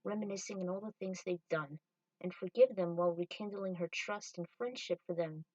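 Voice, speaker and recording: A woman's voice: lowish voice, steady tone, brisk, darkish tone, no echo, far from the mic, highs cut off. A woman storyteller narrating a piece of fan fiction.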